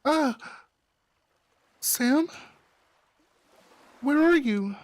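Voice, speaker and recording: Man putting on a high, hesitant young woman. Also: animated, drawn-out words, no room sound, heavily acted. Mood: alarmed. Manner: hesitant, breaking off.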